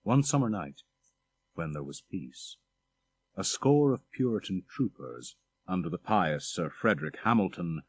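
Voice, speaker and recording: A man narrating a literary short story for an audiobook in a husky voice. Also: deep voice, very animated, a little slow, dry recording.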